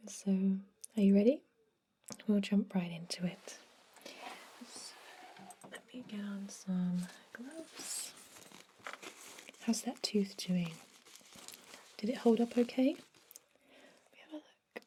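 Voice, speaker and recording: A woman whispering an ASMR roleplay as a calm, businesslike vampire dentist, giving gentle, soft-spoken instructions. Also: mid-range voice, a little hiss or hum.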